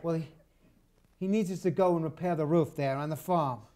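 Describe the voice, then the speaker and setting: A man's voice: on the high side, brisk, warm tone, natural voice. A man with an American accent playing a desperate, sheepish detective in an improvised old-time radio play. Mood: sheepish, pleading. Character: desperate hard-up male detective, sheepish and devoted.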